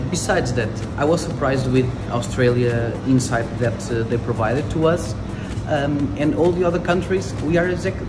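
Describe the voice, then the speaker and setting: Earnest man in an echoey room. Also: mid-range voice. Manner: earnest, halting, with many fillers. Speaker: company representative. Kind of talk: speaking to camera. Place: indoor meeting room. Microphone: phone camera, close framing. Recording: echoey room, loud music bed. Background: loud background music.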